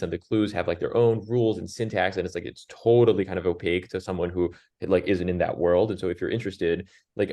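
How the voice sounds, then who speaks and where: A man's voice: low voice, warm tone. A man who grew up in Brazil with Portuguese as his first language, a crossword constructor answering interview questions in a rambling way, full of "like" and restarts. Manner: rambling, heavy use of "like", frequent restarts.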